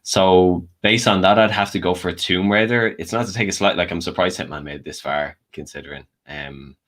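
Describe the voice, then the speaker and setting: Male panellist with a deep voice, talking through film opinions informally. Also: steady tone, brisk, a bit bright. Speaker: panel guest. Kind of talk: casual film debate.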